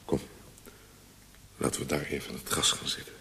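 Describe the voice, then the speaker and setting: Deep-voiced tender young suitor, hissy recording. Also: male voice, completely flat delivery, normal speed, sharp tone, full character voice. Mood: loving, coaxing. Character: tender young male suitor, painter and poet, courting. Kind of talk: radio drama acting. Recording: hissy, noisy old radio recording.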